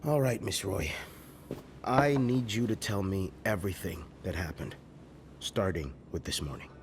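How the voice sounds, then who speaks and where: An actor playing a gruff, businesslike 1950s police detective in a video game, questioning in clipped, deliberate phrases. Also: male voice, sits low, slight background noise. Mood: stern, probing. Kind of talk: video game cutscene dialogue.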